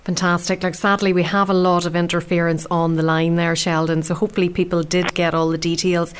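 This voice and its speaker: A woman's voice: fairly low pitch, little pitch movement, brisk, a little hiss or hum. An Irish woman hosting a radio food show, chatting with guests and reading links.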